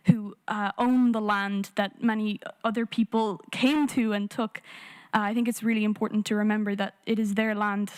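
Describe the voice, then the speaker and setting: A woman's voice: mid-range voice, clean tone. A young Irish woman answering on a summit panel, earnest and hesitant, with many fillers, in a conference room. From Ireland.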